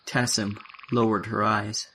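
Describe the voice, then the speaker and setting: A man's voice: on the low side, little pitch movement, measured pace, vocal fry, pushed and bright. A man reading scripted narration as the narrator of a science-fiction audio drama. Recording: faint background noise and ambience.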